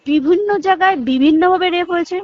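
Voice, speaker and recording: A woman's voice: very high-pitched, fast talker, no echo. A young woman with a West Bengal Bengali accent talks casually but insistently on a recorded phone call, often asking emphatic rhetorical questions.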